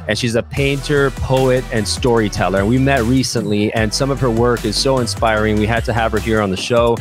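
A man, hosting a conversational podcast interview into a close studio microphone. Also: mid-range voice. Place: small podcast studio at a university. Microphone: close studio microphone on boom arm.

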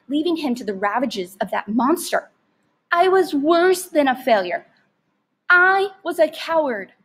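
Expressive woman storyteller, slightly high voice. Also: husky. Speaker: teacher reading aloud.

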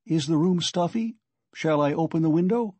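A man's voice: a little high, normal speed, mellow, no room sound, voice pushed up, lightly acted. A man voicing a sly, chatty investigator in an audiobook, wheedling and exclaiming with theatrical concern. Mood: teasing, needling. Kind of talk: audiobook character acting.

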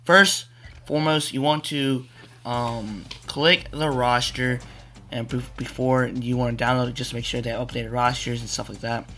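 A young man with a hoarse, cracking voice, rambling casually through a video game walkthrough with many fillers. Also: medium pitch, steady tone, normal speed, sharp tone, slight background noise. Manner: rambling, repeats "you wanna" with fillers. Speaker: gaming tutorial maker. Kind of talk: casual screen-capture walkthrough.

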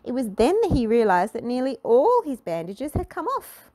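A woman reading a children's storybook aloud in an expressive, deliberate way. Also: sits high. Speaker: storybook reader. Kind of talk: scripted children's storybook narration.

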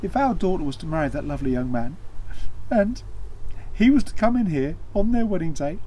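Man doing a high-pitched fretful farmer's wife. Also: expressive, fairly quick, dark tone, slight background noise, close to an everyday voice, low-quality recording. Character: farmer's wife, fretful middle-aged mother.

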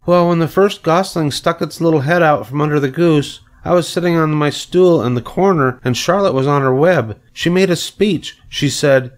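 A man's voice: a little high, moderate pace, hardly any pauses, voice pushed up, close to an everyday voice. A man playing a young farm girl in a storybook, chatting in an eager, matter-of-fact, childlike way.

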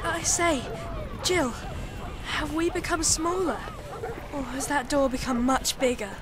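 Casting: an excitable schoolboy in audio drama, high animated voice, loud noise behind. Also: female voice, unhurried, crowd noise. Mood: startled. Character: young schoolboy, excitable and earnest.

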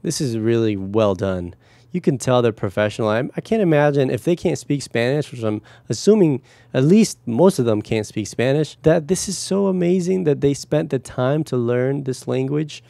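Man in his thirties from Guatemala, home-studio reaction host, close boom mic, mellow tone, gushing and upbeat. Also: animated, moderate pace, vocal fry, no echo. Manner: enthusiastic, effusive praise. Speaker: music producer reacting to videos. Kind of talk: casual reaction vlog. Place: small home studio room. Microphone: headphones, close mic on boom arm.

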